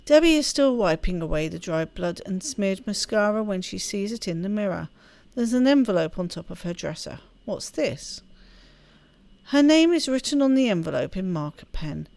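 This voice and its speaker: A woman's voice: mid-range voice, gravelly, a little mellow, no echo. A woman hosting a gaming video, chatting casually and reading story text aloud with expression. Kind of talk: let's play chat and expressive read-aloud narration.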